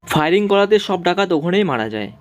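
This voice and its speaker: A man's voice: high voice, big pitch swings, quick pace, no echo. A man narrating a scripted story for a cartoon.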